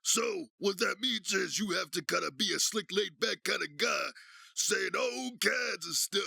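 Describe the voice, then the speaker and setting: A man voicing a brash biker Santa Claus for a game, with a gravelly, strained belly voice and the swagger of an announcer working a crowd. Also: high-pitched, unhurried, bright, forceful tone, no echo, studio quality, pitched above the performer's own voice, full character voice. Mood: slick, jolly swagger. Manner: slick, in-your-face salesman swagger. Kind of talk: shouted announcer-style game character voice.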